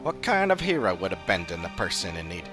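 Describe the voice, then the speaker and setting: A man's voice: deep voice, sing-song, fast talker, music in the background, pitched below the performer's own voice. A man voicing a cynical, experienced villager in a fantasy game, scornful and emphatic. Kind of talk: scripted game dialogue reading.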